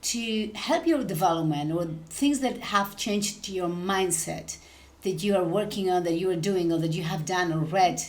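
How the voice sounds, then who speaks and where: A woman's voice: lowish voice, moderate pace. A woman hosting a podcast interview, welcoming her guest and asking long questions with fillers. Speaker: podcast host.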